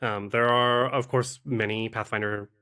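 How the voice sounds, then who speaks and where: A man talking conversationally as a podcast guest and game master, explaining things in a halting, thoughtful way. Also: fairly low pitch, level tone, bright, forceful tone, clear, resonant tone. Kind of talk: conversational interview talk.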